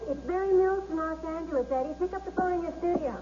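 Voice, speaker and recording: A brisk young wife. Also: female voice, extremely high pitch, warm tone, faint music behind. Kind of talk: 1970s radio drama acting. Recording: dull 1970s radio broadcast.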